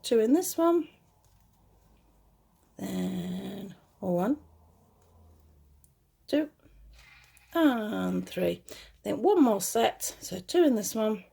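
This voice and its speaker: A woman hosts a crochet tutorial in a soft-edged, mellow voice that has a slight gruffness to it. She chats casually through each step at a middling pitch, correcting herself and reassuring beginners. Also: lively intonation, extremely slow, pauses often. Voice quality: slightly gruff, lower than her usual voice. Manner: chatty, self-correcting, reassuring toward beginners. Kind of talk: casual step-by-step craft instruction.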